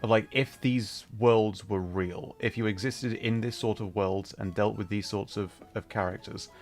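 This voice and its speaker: A man with a fairly low voice talks casually as he hosts a gaming livestream. He rambles in a thoughtful way, moving between the game and chat. Faint music plays behind him. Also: fairly even intonation, normal speed. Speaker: livestreamer and host. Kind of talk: casual livestream chat over gameplay, reading out and answering chat.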